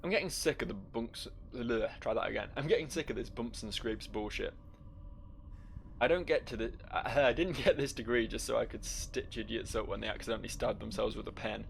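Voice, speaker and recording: A man's voice: mid-range voice, a little fast, gravelly, slight background noise. A man giving casual, wry game commentary, thinking aloud and reading in-game text. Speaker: gameplay host.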